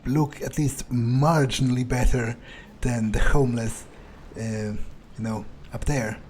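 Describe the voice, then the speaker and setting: Slow, mellow, sardonic male gamer. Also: medium pitch, fairly even intonation, a little hiss or hum. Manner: musing, hesitant, sardonic. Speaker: gamer role-playing his character. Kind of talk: in-character video game playthrough, thinking aloud. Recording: faint background game ambience.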